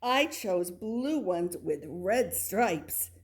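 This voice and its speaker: A woman reading a children's picture book aloud, with expressive delivery and little asides to the listener. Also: medium pitch, drawn-out words. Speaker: storybook reader.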